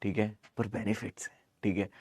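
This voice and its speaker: Hoarse, low-voiced man teaching maths. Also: little pitch movement, a little fast, darkish tone, no echo, studio quality. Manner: teasing, scolding, repeats phrases for emphasis. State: hoarse, sore throat. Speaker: maths teacher of an online class. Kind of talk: informal live online lesson.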